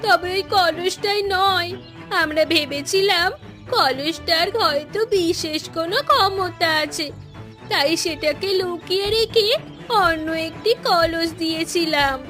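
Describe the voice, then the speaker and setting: Very high, bright, fretful village wife played by a woman. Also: measured pace, soft background music, heavily acted. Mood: remorseful pleading. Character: anxious pleading village wife and mother. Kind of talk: Bengali animated fairy tale dubbing.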